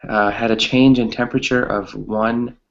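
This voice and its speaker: A man's voice: mid-range voice, fairly even intonation, normal speed, gravelly. A man chatting casually as an online class teacher, hesitant and often repeating phrases, on slightly muffled audio. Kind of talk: casual chat with students.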